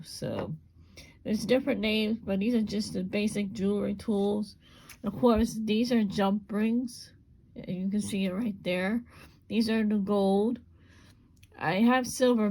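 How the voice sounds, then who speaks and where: A woman with a gravelly, hoarse voice demonstrates a small craft step by step. Her talk is relaxed and unscripted, with plenty of repeats as she works. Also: mid-range voice, poor audio. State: voice going hoarse. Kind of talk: casual hands-on craft demonstration.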